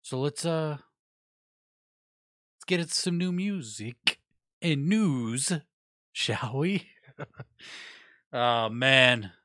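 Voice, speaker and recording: A man's voice: medium pitch, expressive, drawn-out words, pauses often, dry recording, clean studio sound. A young adult man hosting a casual hip hop podcast, talking loosely with slang and cursing, his nose stuffed up. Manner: loose, profane, slangy talk with shout-outs. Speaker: podcast host.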